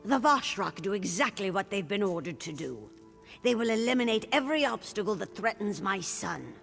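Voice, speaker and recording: A cold, scheming older orc matriarch from a fantasy game. Her mid-pitched voice crackles with gravel as she talks down to her listener in measured, mocking menace. Music plays clearly behind her. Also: lively intonation, fairly bright tone. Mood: cold resolve. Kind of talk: fantasy video game voice acting.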